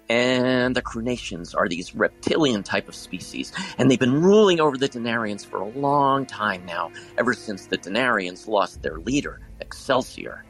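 A man playing a daydreaming, hesitant high school senior with a head full of sci-fi stories, in an audio drama. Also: fairly bright tone, low-quality recording.

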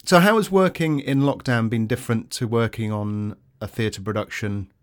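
A man's voice: medium pitch, moderate pace. A man interviewing a young guest, chatting in a friendly, encouraging way. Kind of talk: casual interview.

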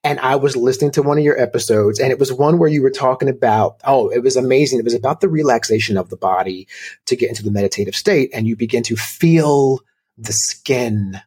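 A man hosting a podcast interview, bubbly and quick to laugh. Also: medium pitch, darkish tone, breathy edge. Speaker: podcast host.

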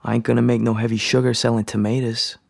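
An actor playing a brash young numbers hustler in a period radio drama, with a gravelly voice and offhand, slangy talk. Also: male voice, medium pitch, flat delivery, quick pace, darkish tone, no room sound, clean studio sound. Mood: offhand, evasive.

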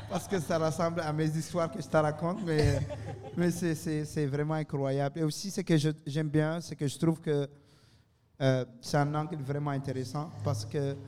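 A man's voice: fairly high pitch, darkish tone. A man hosting a casual live panel interview, with a jovial, teasing manner. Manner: teasing, jovial, laughs often. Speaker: host moderating a panel discussion. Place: live recording in a university bar. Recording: reverberant room, live event recording.

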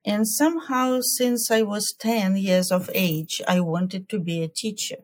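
A woman, a culture coach answering interview questions in a careful, measured way with hesitant fillers. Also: medium pitch. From Ukraine.

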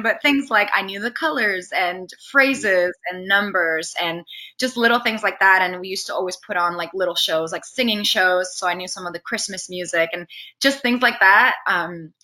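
Bright-voiced woman, chatty and expressive. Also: mid-range voice. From Venezuela. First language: Spanish. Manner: chatty, expressive, quick to laugh. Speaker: interview guest. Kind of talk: casual conversational interview, personal stories.

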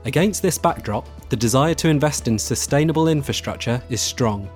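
A man's voice: mid-range voice, animated, a little fast, darkish tone. Male podcast host over faint music. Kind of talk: scripted presenting.

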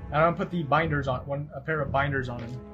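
A man's voice: medium pitch, steady tone, racing. A man chatting casually as a player in a tabletop role-playing game. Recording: dull lo-fi sound, music underneath.

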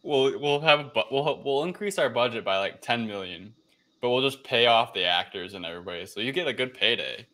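A man's voice: mid-range voice, expressive, fairly quick, sharp tone. A man co-hosting a podcast in casual conversation, speaking in a wry, colloquial, informal way. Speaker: podcast co-host.

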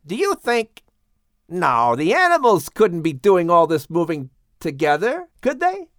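Man as curious young Bible-story son: raised pitch, questioning, animated melody. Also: unhurried, dry recording, studio quality, full character voice. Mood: puzzled. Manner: wondering, questioning. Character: puzzled, curious young adult sons of Noah.

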